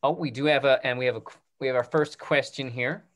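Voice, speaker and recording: A man around his thirties moderating a casual question-and-answer session on a video call, restarting phrases in a halting way. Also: mid-range voice, steady tone, fairly bright tone. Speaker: moderator of a panel discussion. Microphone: video call computer microphone.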